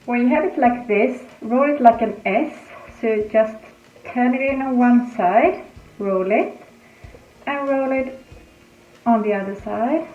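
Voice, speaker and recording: A woman's voice: on the high side, unhurried, narrow, tinny audio. A woman from Greece who grew up in Sweden, chatting casually as she explains each step of her baking at home in her kitchen. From Greece, raised in Sweden. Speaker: home cooking vlogger. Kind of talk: casual cooking demonstration. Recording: echoey room with faint music.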